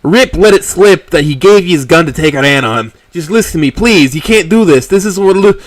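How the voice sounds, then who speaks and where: A man's voice: fairly high pitch, lively intonation, brisk, no echo, pitched above the performer's own voice. A man voicing an older male dinosaur who pleads urgently over the phone. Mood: frantic.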